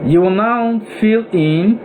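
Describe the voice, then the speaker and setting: A man with a Nigerian accent giving a deliberate, step-by-step software tutorial voice-over, phrase by phrase, as an instructor. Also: high voice. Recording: noisy room with steady air-conditioning-like hum.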